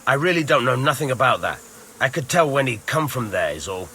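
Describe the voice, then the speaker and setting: A man's voice: mid-range voice, very animated, brisk, pushed and bright, slight background noise, rough recording. An actor playing a plain-spoken village tanner in a video game, a guarded father with rustic speech. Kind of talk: video game cutscene acting.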